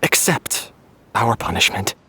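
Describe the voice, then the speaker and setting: A man playing a resigned young man in a video game, solemn and apologetic, with a husky voice. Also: on the low side, sing-song, unhurried, bright, forceful tone, a little hiss or hum, exaggerated voice. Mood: resigned, contrite.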